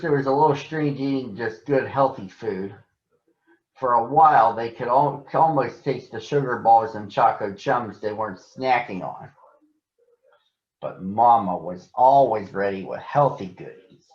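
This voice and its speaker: A man reading a children's story aloud in a deliberate, expressive storytelling manner. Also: medium pitch. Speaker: storybook reader for children on a recurring show. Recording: echoey room, slightly dull sound.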